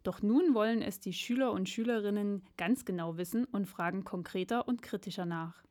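A woman's voice: mid-range voice, normal speed, darkish tone. A woman presenting and linking short audio pieces as a radio host.

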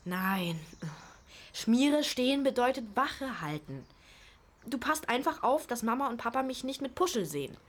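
A woman's voice: fairly high pitch, big pitch swings, measured pace. A female performer playing a sensible, bossy schoolgirl who explains and instructs her siblings in a children's radio play. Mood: planning.

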